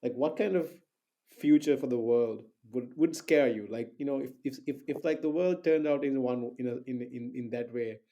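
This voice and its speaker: A man's voice: medium pitch, moderate pace, warm tone. A young man hosting an interview, talking casually with lots of fillers and restarts. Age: young adult. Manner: hesitant, filler-heavy, many restarts. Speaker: podcast host.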